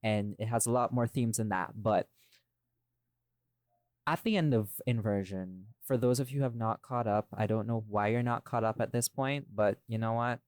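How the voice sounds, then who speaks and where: Low-voiced man, emphatic podcast host. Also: normal speed, darkish tone, no echo, studio quality. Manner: emphatic, addresses listeners directly. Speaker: podcast host and audio drama creator. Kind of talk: casual podcast talk, explaining creative choices.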